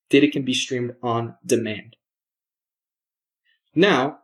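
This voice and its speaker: A man's voice: medium pitch, low-quality recording. Man narrating a product demo. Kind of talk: scripted screencast narration.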